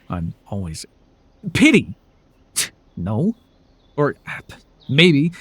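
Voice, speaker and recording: A man playing a proud, sardonic telepathic supervillain with hidden tenderness in an ASMR roleplay, theatrical and condescending with a husky voice. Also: mid-range voice, no echo. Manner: theatrical, grandiose, flustered, dryly condescending.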